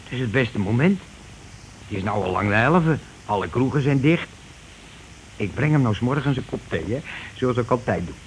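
An actor playing a coarse, cocksure scheming husband in a radio play, talking insistently and impatiently in colloquial speech. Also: male voice, mid-range voice, animated, moderate pace, pauses often, close to an everyday voice. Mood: scheming, bossy. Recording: noisy old radio drama recording.

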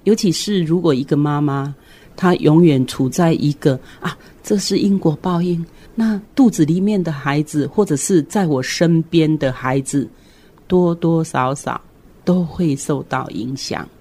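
A middle-aged woman with a Taiwanese Mandarin accent, explaining reflectively in short, deliberate phrases as a guest on a radio discussion. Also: low-pitched, mellow, slightly rough. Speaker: guest commentator, educator.

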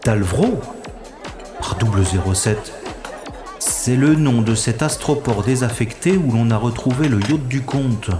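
An actor playing a wry, world-weary special agent in a science-fiction audio drama, with deliberate, slightly pompous phrasing. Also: male voice, mid-range voice, over music, a crowd in the background, close to an everyday voice. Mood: weary, musing.

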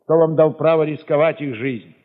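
An actor playing a gruff, blustering army commissar in an audio drama, speaking in emphatic exclamations. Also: male voice, fairly high pitch, fairly even intonation, long reverb tail, phone-quality sound, lightly acted. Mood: indignant. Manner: exclamatory, emphatic, drawn-out address. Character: gruff, blustering army regimental commissar, protesting then relenting.

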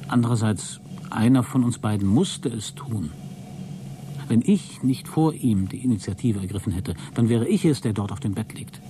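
An actor playing a deluded, exhausted astronaut who keeps a logbook in a radio play, halting, self-justifying and grandiose. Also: male voice, on the low side, background noise, natural voice. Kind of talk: dramatic radio play monologue.

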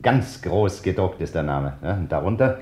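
A man's voice: low voice, animated, normal speed, dark tone. A man playing an easygoing, wry police commissioner in an old German radio crime drama, talking in a colloquial way. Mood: pleased, musing.

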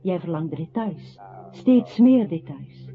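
An actress playing a solemn, quietly accusing narrator in a radio drama, with a husky voice and deliberate literary phrasing. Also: female voice, lowish voice, animated. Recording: muffled phone-like old radio recording.

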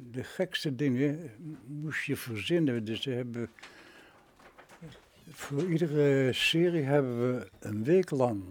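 A man's voice: mid-range voice, husky. A man in his nineties from the Netherlands, reminiscing in an interview as a retired radio drama director, with vivid examples and rhetorical questions. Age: 90s.

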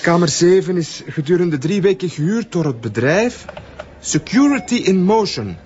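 An actor playing a polite but unhelpful hotel receptionist, hesitant and apologetic, in a TV drama. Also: male voice, sits high, expressive, normal speed, darkish tone, dull audio, slight background noise. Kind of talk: TV drama dialogue.

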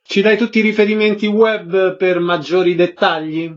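Higher-voiced male radio interviewer. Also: little pitch movement, moderate pace. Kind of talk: radio interview with short questions. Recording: slightly dull radio sound.

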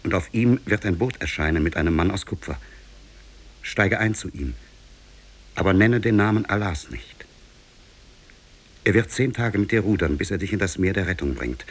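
An actor playing a grave shipwrecked prince, recounting his ordeal in solemn, measured, literary 1950s radio drama style. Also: low-pitched, animated, breathy edge. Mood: solemn command. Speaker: storytelling character in a radio play. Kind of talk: 1950s German radio drama, literary fairy-tale narration.

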